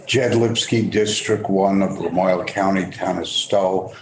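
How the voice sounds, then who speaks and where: A man in his seventies, a state representative, introducing himself in a slow, dry, understated way. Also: sits low. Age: 70s. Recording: distant, echoey room with steady hiss.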